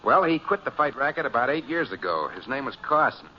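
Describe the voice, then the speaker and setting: An actor playing a hearty, brash boxing trainer with a streetwise manner in a 1940s radio drama. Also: male voice, medium pitch, sing-song, brisk, lightly acted. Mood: affable. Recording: muffled radio recording, loud crowd. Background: loud gym crowd noise.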